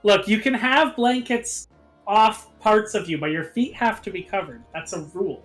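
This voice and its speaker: A man's voice: high voice, normal speed, fairly bright tone. A man in casual, playfully insistent banter with friends as he co-commentates a video game. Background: game music.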